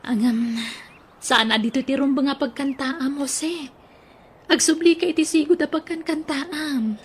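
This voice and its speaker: A woman acting in an Ilocano radio drama, high-voiced and gentle. She speaks in a soft, reassuring way. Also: steady tone, measured pace, slight background noise. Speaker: actor in audio drama.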